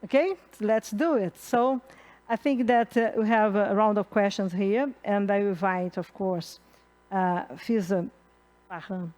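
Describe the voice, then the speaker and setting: A middle-aged woman from Brazil with a Brazilian accent and Portuguese as her first language, moderating a conference panel in halting English full of fillers and restarts. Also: mid-range voice, darkish tone. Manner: halting, many fillers and restarts, laughs at own slips. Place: conference hall.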